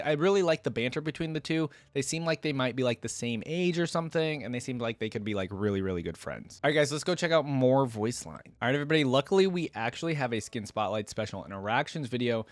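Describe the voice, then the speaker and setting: A man's voice: medium pitch, no room sound. A man hosting a reaction video, giving casual, informal commentary close to the microphone. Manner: chatty, informal.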